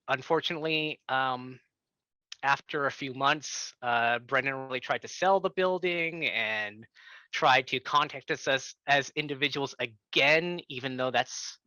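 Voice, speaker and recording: A young man from Canada, a tenant organizer, speaking on an online workshop call in an earnest, halting way with many fillers and restarts. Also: mid-range voice, sharp tone, no room sound. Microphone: computer mic on a video call. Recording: dull, slightly lo-fi video call audio.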